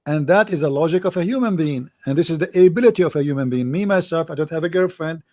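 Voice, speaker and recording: A native Arabic speaker with an Arabic accent hosts a call-in debate in English. His voice sits fairly high, and he presses each point with emphatic repetition and loose grammar. The sound is dry and close. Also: fast talker, darkish tone, dry recording. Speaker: call-in show host. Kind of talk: argumentative religious debate.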